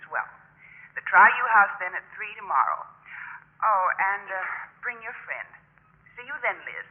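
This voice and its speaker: A thin telephone-filtered voice on an old radio recording, a young sorority woman. She is bubbly, chummy and brisk in 1950s drama style. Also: mid-range voice, sing-song, phone-quality sound. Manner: brisk, gushing.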